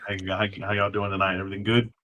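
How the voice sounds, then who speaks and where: A man's voice: on the low side, flat delivery, very fast, pushed and bright, a little hiss or hum. A man from Texas chatting as a guest, polite and folksy, often repeating words as he goes. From Texas, United States. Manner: polite, says sir, folksy y'all. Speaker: guest, former Alamo guard. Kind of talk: casual interview chat.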